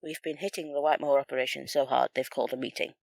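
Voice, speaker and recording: A man playing a level-headed, professional intelligence agent in a sci-fi audio drama, speaking in a brisk, businesslike manner. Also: medium pitch, steady tone, creaky, no room sound, close to an everyday voice.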